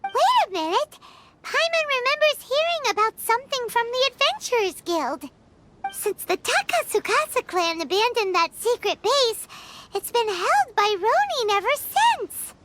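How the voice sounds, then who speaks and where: Squeaky, very high childlike fairy girl. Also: big pitch swings, measured pace, noisy background, full character voice. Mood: excitable. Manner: exclamatory, refers to self by name. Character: small excitable childlike fairy girl companion, anxious and protective. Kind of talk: video game voice acting. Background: game music.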